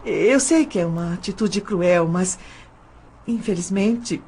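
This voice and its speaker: A woman playing a polite, nervous young woman in an audio drama, speaking in a halting, earnest way. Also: on the low side, a little mellow, husky, exaggerated voice. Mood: polite, anxious.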